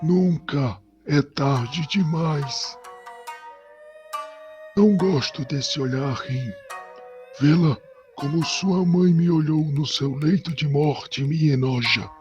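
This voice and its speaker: Male actor as ailing old clan lord, Brazilian accent: slow, curt, proud, commanding, slightly higher male pitch, music behind. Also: a little mellow, muffled recording. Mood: stern, disgusted. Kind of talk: scripted audio drama acting.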